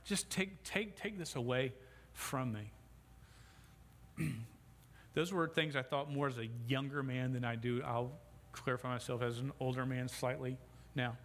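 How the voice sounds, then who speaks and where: A man's voice: mid-range voice, a little slow, pauses often, fairly bright tone. A middle-aged man preaching a sermon as pastor, speaking with a stutter that repeats sounds and restarts words, on a sound system microphone. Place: church sanctuary. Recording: long hall reverb, faint background noise.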